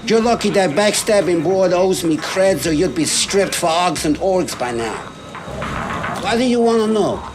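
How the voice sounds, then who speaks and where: Tough game gangster, high pitch, lively swings, hostile, edgy, streetwise. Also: male voice. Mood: threatening. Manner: tough, foul-mouthed. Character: hostile streetwise male gang leader, edgy, threatening. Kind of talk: video game cutscene acting.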